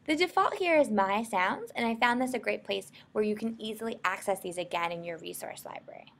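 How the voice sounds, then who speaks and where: An American woman narrating a software tutorial in an informal, explanatory way. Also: mid-range voice, expressive, a little fast, vocal fry. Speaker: software instructor.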